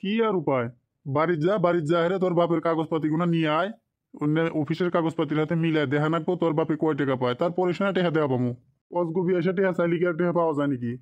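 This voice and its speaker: Through dull, lo-fi sound comes a stingy cartoon boss acted out by a man. His voice is fairly high for a man, brusque and scolding. He talks down in a rustic Bengali dialect, bullying and scheming. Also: very fast, darkish tone, close to an everyday voice. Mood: dismissive. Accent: rural Bengali dialect. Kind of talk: amateur cartoon drama dialogue.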